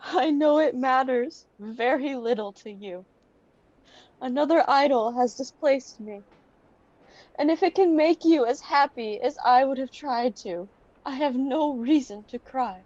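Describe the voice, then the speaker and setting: A high-voiced young fiancée acted by a teenage girl in a radio play. She is solemn, hurt and resolute as she reproaches her beloved. The recording is dry and a bit muffled. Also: unhurried, a little mellow, no echo. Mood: hurt, reproachful. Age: teens. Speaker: student actor.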